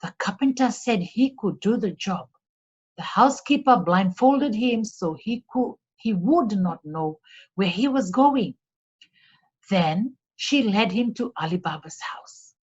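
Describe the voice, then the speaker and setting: A woman narrating a storybook in a deliberate, expressive read-aloud manner for children, on a slightly dull recording. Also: medium pitch, husky.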